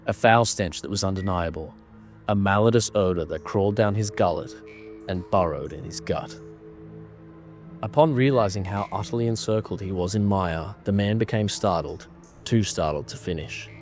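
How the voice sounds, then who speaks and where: A man narrating a scripted horror story in a measured, dramatic manner. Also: low voice, a little mellow, faint music behind.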